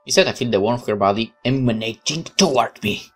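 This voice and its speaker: A woman's voice: very deep voice, lively intonation, fast, faint music behind. A woman reading a visual novel aloud expressively, with casual commentary as she plays.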